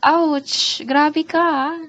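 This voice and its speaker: A woman's voice: high voice, fairly even intonation, extremely slow. A young woman with a Filipino accent playing a jealous, stubborn student secretly in love with her best friend, in an amateur radio drama. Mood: jealous, pleading. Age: young adult. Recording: muffled, loud music behind.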